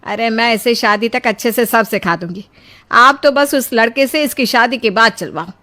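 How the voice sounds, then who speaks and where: A woman's voice: a little high, normal speed, pushed and bright. A woman voicing an insistent, nagging middle-aged mother in a Hindi cartoon story. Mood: scolding. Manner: scolding, insistent.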